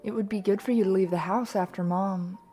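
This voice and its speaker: A woman playing a caring, eager young adult pony daughter in an audio story, earnest and gentle. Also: mid-range voice, fairly even intonation, brisk, soft background music, natural voice. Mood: concerned. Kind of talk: character acting in an audio story.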